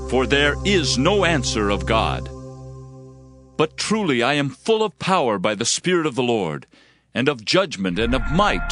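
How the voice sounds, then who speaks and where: An actor playing a fervent, solemn prophet in a dramatized scripture reading, declaiming judgment and hope. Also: male voice, mid-range voice, lightly acted. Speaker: Bible narrator. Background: music.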